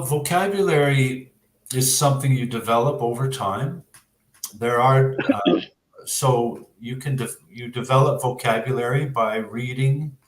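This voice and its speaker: Slow-talking Canadian man, echoey room. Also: mid-range voice. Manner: measured, hesitant, pausing often. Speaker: English teacher giving exam advice. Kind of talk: explanatory interview answers. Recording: roomy, echoing sound.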